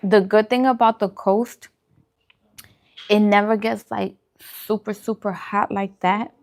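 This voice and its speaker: A woman chatting casually and colloquially with her viewers, as on a livestream during a relaxed meal. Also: mid-range voice, slow. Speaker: vlogger hosting a mukbang livestream. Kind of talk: casual livestream chat with viewers while eating.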